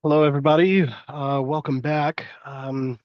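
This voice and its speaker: Man, congested with a cold, lecturing, halting with fillers, slightly high voice, dry muffled sound. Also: fairly even intonation, dry recording. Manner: hesitant, many fillers and restarts. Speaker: university lecturer. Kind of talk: live-streamed lecture.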